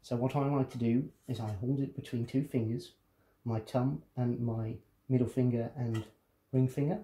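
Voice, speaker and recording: A man's voice: mid-range voice, steady tone, normal speed, warm tone. A man presenting a casual hands-on tutorial, explaining things step by step.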